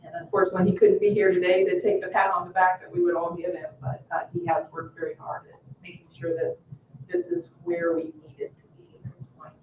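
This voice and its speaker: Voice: medium pitch, animated, fast talker. Distant, roomy voice chairing a meeting. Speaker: board member chairing the meeting. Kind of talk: formal public meeting discussion. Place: indoor public meeting room. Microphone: distant room microphone. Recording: roomy, distant, muffled mic, faint noise.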